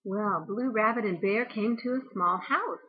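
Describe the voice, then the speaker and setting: A woman's voice: medium pitch, normal speed, husky, highs cut off. An older woman, a grandmother, reading a storybook to a child with casual asides.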